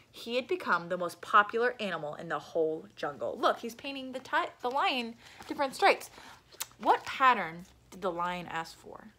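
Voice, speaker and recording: Animated young woman reading to children. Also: mid-range voice, a little hiss or hum. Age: young adult. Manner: animated, explanatory. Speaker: picture book reader. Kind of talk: children's read-aloud with asides to young listeners. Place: small room at home.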